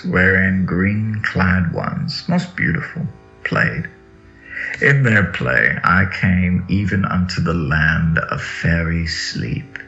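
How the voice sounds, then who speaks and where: A man with a very low, creaky voice recites scripture in a slow, solemn and theatrical way. His tone is forceful and bright-edged, with drawn-out, incantatory phrases that swell for emphasis. The recording is a little dull, with music behind him. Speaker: reader. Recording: dull lo-fi audio with music underneath.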